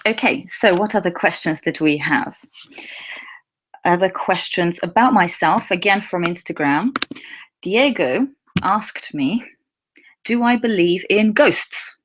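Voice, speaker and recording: A young woman with a slightly low voice, whose was Russian. She talks in an easygoing, chatty way and answers viewers' questions one by one. She keeps her words clear and simple for learners. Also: fairly bright tone. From England. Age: young adult. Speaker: English teacher. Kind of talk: casual live Q&A.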